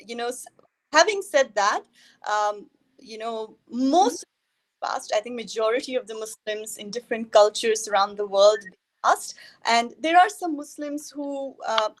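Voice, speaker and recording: A woman's voice: a little high, animated, measured pace, rough recording. A woman from India, a guest in a casual interview over a video call, talking hesitantly with many fillers.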